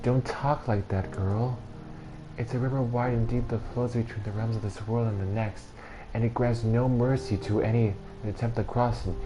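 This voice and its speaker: A man's voice: mid-range voice, steady tone, normal speed, background noise, voice pushed up, natural voice. A man voicing a fierce, tiny old grandmother who talks coarse and blunt. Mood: stern. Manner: coarse, rough-spoken and scolding.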